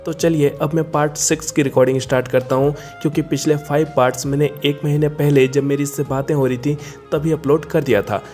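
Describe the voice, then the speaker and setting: A man's voice: on the high side, fairly even intonation, fast talker, hardly any pauses, darkish tone. A man narrating a video introduction as the channel's host, addressing viewers directly. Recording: music under the voice. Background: background music.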